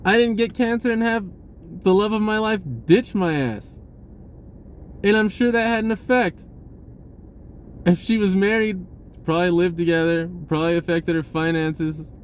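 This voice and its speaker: A man's voice: high voice, measured pace, long pauses, a little hiss or hum. A man talking casually about spirituality in a rambling way, repeating words and swearing offhand. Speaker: spiritual vlogger.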